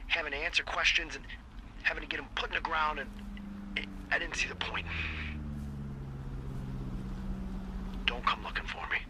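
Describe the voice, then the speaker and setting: A man's voice: a little high, big pitch swings, fast talker. An actor playing a bitter, defensive middle-aged recluse and father in a video game, rambling on tape in folksy, profane language. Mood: agitated, regretful. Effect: played back from a tape. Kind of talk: taped confession in a video game drama. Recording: taped voice with faint noise.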